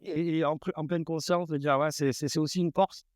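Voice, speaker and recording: Man with a smooth voice, halting pitch. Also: steady tone, a little mellow, dry recording, clean studio sound. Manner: halting, many fillers and restarts. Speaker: startup co-founder. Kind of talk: casual pitch while eating hot sauces. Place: room around a table.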